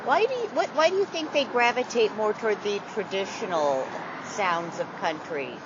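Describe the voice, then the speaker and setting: A woman's voice: medium pitch, expressive, moderate pace. A woman interviewer asking questions in a hesitant way, with restarts. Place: outdoors on a city street. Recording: dull outdoor audio with loud traffic.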